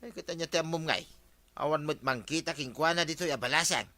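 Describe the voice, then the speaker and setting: Bright-voiced, gossipy Ilocano villager. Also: male voice, a little high, fairly quick, no echo. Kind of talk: radio comedy drama acting.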